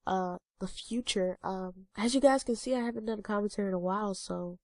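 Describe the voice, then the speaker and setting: A woman's voice: medium pitch, a little fast, darkish tone, no echo, studio quality. A young woman in her twenties with a stuffy, congested nose, chatting casually to her viewers with lots of ums and uhs. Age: 20s. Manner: rambling, many ums and uhs. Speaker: vlogger. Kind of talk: casual talking-head vlog.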